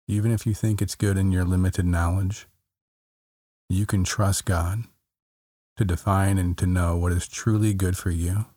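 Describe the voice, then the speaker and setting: Casting: man with a very low voice, unhurried, gentle meditation guide, dry close sound. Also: no room sound. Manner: slow, soft, calm, repeats phrases with long pauses.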